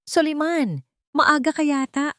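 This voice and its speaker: A woman's voice: high voice, a little slow, fairly bright tone, dry recording, clean studio sound, pitched above the performer's own voice. Woman acting a nervous, frightened woman. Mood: feigned cheer. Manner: nervous, hesitant. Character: frightened woman pretending all is normal.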